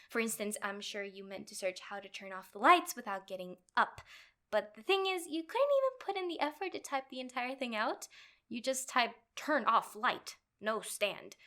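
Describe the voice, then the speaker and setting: A woman playing a nervous, sweetly clingy yandere girlfriend in a comedic roleplay audio, rambling with halting stammers. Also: on the high side, fairly bright tone. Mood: amused, flustered.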